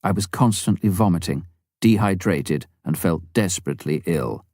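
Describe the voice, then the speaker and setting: A man narrating an audiobook memoir from a script, in a measured, expressive storytelling manner with deliberate phrasing. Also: low-pitched, no echo, studio quality.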